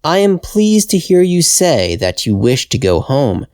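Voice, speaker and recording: A man voicing a kindly old magical woman in a fairytale, speaking gently and without hurry. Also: on the high side, sing-song, a little slow, no room sound, clean studio sound, pitched above the performer's own voice. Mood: pleased, kind.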